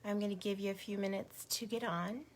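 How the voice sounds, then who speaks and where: A woman hosting a casual livestream with a hoarse, failing voice, talking indignantly to her viewers. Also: mid-range voice, fairly even intonation, fairly quick, a little hiss or hum. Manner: indignant, gets louder when riled up. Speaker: activist livestream host.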